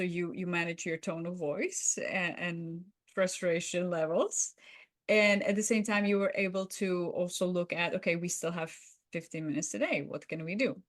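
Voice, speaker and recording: Encouraging woman in conversation. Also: on the low side. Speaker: podcast co-host. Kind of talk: roleplay and conversational debrief.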